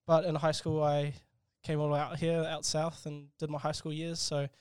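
Young New Zealand man, flat smooth voice. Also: fairly high pitch, moderate pace, no room sound. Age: 20s. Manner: soft-spoken, hesitant, many restarts. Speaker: guest entrepreneur. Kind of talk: casual interview chat.

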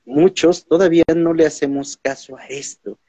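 A man with a Mexican accent hosting a podcast interview in a casual, animated way, often repeating his words. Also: a little high, moderate pace, dry recording. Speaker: podcast host. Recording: dull lo-fi sound.

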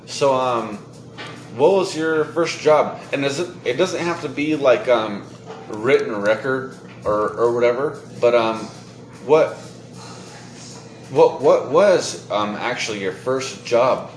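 A man's voice: medium pitch, takes it slowly. A young man hosting a podcast, interviewing his grandfather with hesitant, filler-heavy questions. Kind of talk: casual family interview. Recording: echoey room, faint noise.

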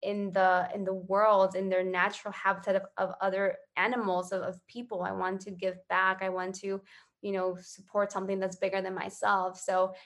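A woman's voice: medium pitch, flat delivery, normal speed. Casting: woman from Ecuador, interview guest, mid-range voice, earnest and conversational. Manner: earnest, conversational, often tagging phrases with "right?". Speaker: interview guest, social entrepreneur. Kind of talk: casual interview answers.

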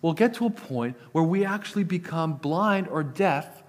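A man's voice: fairly high pitch, animated, moderate pace. A man preaching a sermon, earnest and often repeating words as he starts a sentence; Italian was his first language. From Italian family. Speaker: pastor.

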